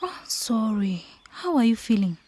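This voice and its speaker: A woman playing a gentle, anxious young village woman and caring friend in a radio drama, speaking softly and earnestly. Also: a little high, very animated, a little slow, dry recording. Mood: concerned. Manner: soft, earnest.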